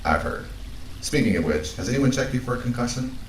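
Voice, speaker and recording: A man playing a calm, caring android crewmate in an audio drama, with a measured, dry, clinical delivery. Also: mid-range voice, close to an everyday voice. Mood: dry, concerned. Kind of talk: naturalistic audio drama acting. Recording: roomy echo, faint background noise.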